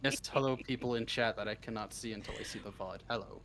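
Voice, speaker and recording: A man's voice: fairly low pitch, fast, a bit bright. A man chatting casually as an interview guest, joking at his own expense and swearing freely. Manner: joking, self-deprecating, sweary. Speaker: interview guest, script writer. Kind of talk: casual chat.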